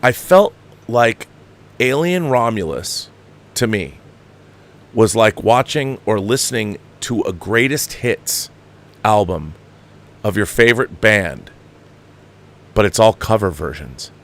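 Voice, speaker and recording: A man delivers opinionated film commentary in a conversational way. His pitch moves up and down widely as he hammers points home, restarting and repeating phrases with an exasperated, emphatic manner. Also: expressive, takes it slowly, vocal fry, long pauses, a bit bright. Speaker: film review show host.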